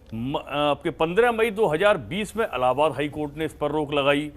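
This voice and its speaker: A man's voice: on the high side, normal speed. A man speaking as a party politician in a TV debate, arguing emphatically in formal phrases mixed with English words.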